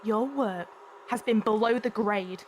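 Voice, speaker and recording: A woman's voice: medium pitch, unhurried, slight background noise, close to an everyday voice. A woman playing a stern, spiteful Victorian factory overseer in an audio drama, scolding and snappish. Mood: sharp reproach. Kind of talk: fan audio drama acting.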